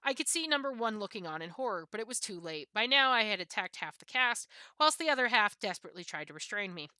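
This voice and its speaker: Woman reading a funny book out loud on stream, bright crisp tone, dry close sound, trips on a word now and then. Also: medium pitch, quick pace, dry recording. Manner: expressive reading with occasional stumbles and self-corrections. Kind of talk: reading aloud from a comic book of essays.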